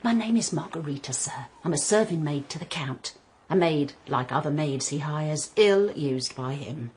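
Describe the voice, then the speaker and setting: A woman's voice: low voice, animated, normal speed, darkish tone, slightly rough, full character voice. A woman playing a sly, insistent serving maid who coaxes and insinuates in a period radio drama. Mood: sly, coaxing. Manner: coaxing, knowing, insinuating.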